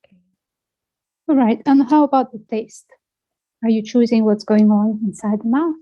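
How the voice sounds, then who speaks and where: A woman guiding a self-inquiry conversation, asking gently probing and playful questions. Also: a little high, normal speed, dry recording, lo-fi sound.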